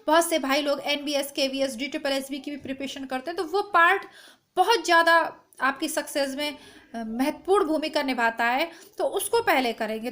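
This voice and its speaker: High-pitched woman teacher, voice going hoarse, chatty and direct, recorded at home on a device mic. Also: a bit bright. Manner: chatty, reassuring, addresses students directly. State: hoarse, voice strained. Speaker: Hindi exam-prep teacher. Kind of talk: informal lesson to camera. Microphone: no proper mic, phone or device built-in.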